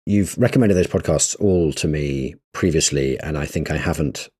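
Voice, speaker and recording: A man's voice: rumbling low, normal speed, warm tone, husky. A man co-hosting a casual podcast, easygoing and self-deprecating. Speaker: podcast co-host. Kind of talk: casual chat.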